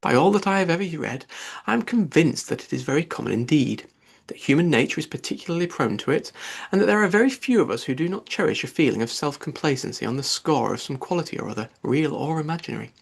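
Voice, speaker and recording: Casting: male reader as a moralizing young bluestocking from a classic novel, pompous, higher-set and creaky. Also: lively intonation, fast, full character voice. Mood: pedantic. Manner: pompous, lecturing. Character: bookish, self-important young woman who moralizes. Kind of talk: audiobook character dialogue.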